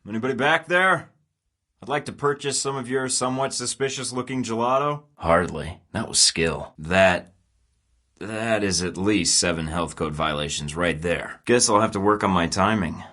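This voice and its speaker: A man's voice: fairly low pitch, normal speed, sharp tone. An actor playing a wisecracking male secret agent in a video game who stays cool under pressure and trades dry, sarcastic quips. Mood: wry. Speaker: protagonist of a spy game.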